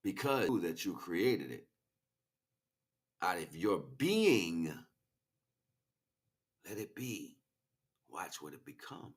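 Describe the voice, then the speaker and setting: Low-voiced man in emphatic spiritual monologue. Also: big pitch swings, slow, pauses often. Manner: emphatic, swinging between hushed asides and loud declarations; preachy, grandiose, repeats phrases for weight. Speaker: spiritual speaker, streamer. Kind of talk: impassioned improvised spiritual monologue.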